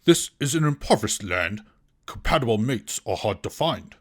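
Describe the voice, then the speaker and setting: Casting: male comedy narrator, low-pitched and widely varied, for a spoof wildlife documentary. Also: very animated, moderate pace. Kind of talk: mock nature documentary narration.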